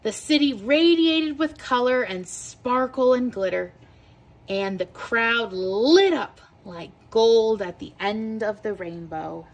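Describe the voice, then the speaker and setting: A woman reading a children's picture book aloud with expressive, deliberate storytelling delivery. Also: a little high. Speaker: read-aloud teacher.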